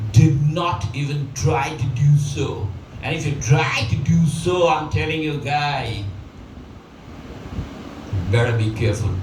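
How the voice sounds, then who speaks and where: A man teaches prepositions, running each example sentence over and over, with plenty of fillers. His voice sits a little high and sounds set back from the mic. The room rings with echo, and a steady noise runs underneath. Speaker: English teacher. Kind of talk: spoken English lesson. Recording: echoey room, mic at a distance, background noise.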